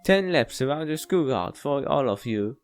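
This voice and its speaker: A man voicing a flustered male schoolteacher with a squeaky, high-pitched voice in a visual novel read-aloud. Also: animated, normal speed, smooth, soft background music, natural voice. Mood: stern, scolding. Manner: exclaiming, flustered.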